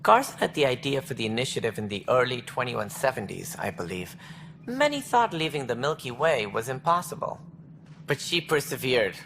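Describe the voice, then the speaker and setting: An actor playing an officious alien station director in a video game, speaking precisely and formally with a wry, earnest streak. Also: male voice, mid-range voice, very animated, moderate pace, vocal fry, a bit bright, slight background noise. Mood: earnest, stately. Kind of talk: video game dialogue acting.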